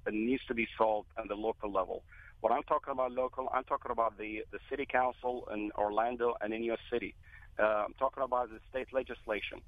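A man's voice: lowish voice, dry recording. A man from Iraq, a guest on a political talk radio show, speaking earnestly with many fillers and repeated words. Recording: tinny phone line, dry.

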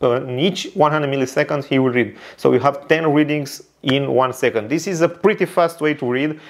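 A man's voice: medium pitch, moderate pace. A man in his thirties from Romania, with a Romanian accent and Romanian as his first language, reviewing a tech product in careful English with fillers and repeats. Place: indoor studio with neon lights and shelves.

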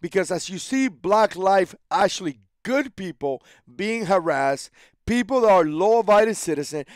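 A man's voice: sits high, no echo, clean studio sound. A man in his thirties, born in Cuba, hosting his own channel and speaking into a headset mic with indignant, emphatic commentary full of repeated phrases and restarts. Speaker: channel host. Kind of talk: opinion commentary to camera. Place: small home studio room.

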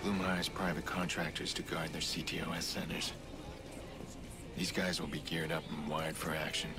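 Brooding hacker vigilante, very low pitch, clipped delivery, video game, heavy music behind. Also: male voice, flat delivery, moderate pace. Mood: brooding resolve. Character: terse, driven male vigilante hacker protecting his family. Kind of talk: video game dialogue and inner monologue.

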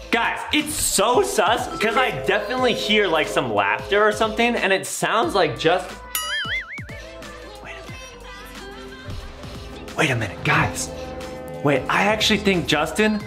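Animated young man, roomy sound. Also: high voice, unhurried, pauses often. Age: 20s. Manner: exaggerated, theatrical reactions. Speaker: gaming video host. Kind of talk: playful video game commentary for children. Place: small indoor room. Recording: roomy sound with background music. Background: music.

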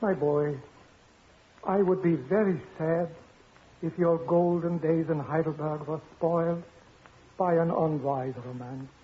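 An actor playing a formal, protective older tutor to a prince in a 1950s radio drama, speaking in a paternal manner. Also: male voice, a little high, fairly even intonation, unhurried, lightly acted. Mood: concerned. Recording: tinny vintage radio broadcast, background noise.

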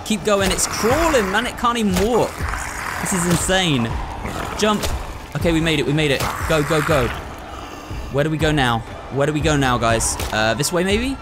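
A man's voice: a little high, expressive, moderate pace, music in the background. A man hosting a video game playthrough, thinking aloud and talking to viewers in a running commentary. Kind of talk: excitable gameplay commentary.

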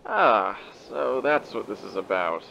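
A man's voice: on the low side, sing-song, measured pace, vocal fry, sharp tone, slight background noise, heavily acted. An actor playing a proud, theatrical male demon with formal, elaborate diction and an old radio filter in a comic dub. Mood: dry, queasy. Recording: muffled band-limited sound, music behind. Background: music.

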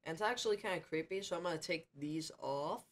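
A man's voice: on the high side, fast talker, a little hiss or hum. A young man giving casual reaction commentary as the host of a video, recorded in a room at home. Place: small home room, indoors.

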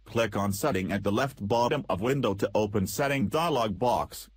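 A man with a low, rough-edged voice narrates a software tutorial from a script. He gives instructions step by step, and the sound is dry and close, with little room in it. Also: normal speed, no room sound.

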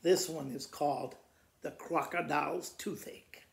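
A man in his sixties reads poetry to children with expressive, theatrical delivery. His voice is gravelly and recorded in a roomy living room. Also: fairly high pitch, a little slow. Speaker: school principal reading to students. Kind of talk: storytime poetry reading. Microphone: camera a short distance away. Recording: roomy indoor echo.

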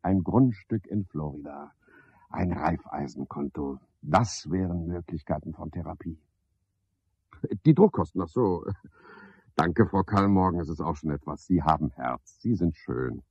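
An actor playing a garrulous, pompous, scheming porter in a radio play, wordy and self-important, speaking in rambling clipped phrases. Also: male voice, low-pitched, a little slow, darkish tone, slightly rough, dry recording, exaggerated voice. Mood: wheedling, effusive. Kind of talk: radio play monologue, theatrical. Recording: dull 1970s radio play recording.

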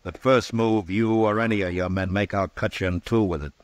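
Man playing a deep-voiced, stern frontier marshal. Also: steady tone, no room sound, close to an everyday voice. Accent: American. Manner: measured, laconic, firm. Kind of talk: mid-century American radio western acting.

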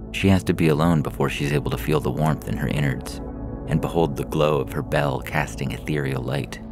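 A man's voice: extremely low pitch, gravelly. A man reading a science fiction story aloud as a scripted narration.

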